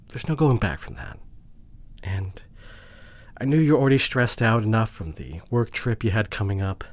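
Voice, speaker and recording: Man acting a husky, regretful, wounded boyfriend. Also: fairly low pitch, full character voice. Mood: anguished, apologetic. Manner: halting, emotional, often dropping to hushed near-whispers. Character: regretful, wounded boyfriend pleading with his partner. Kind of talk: ASMR roleplay audio drama.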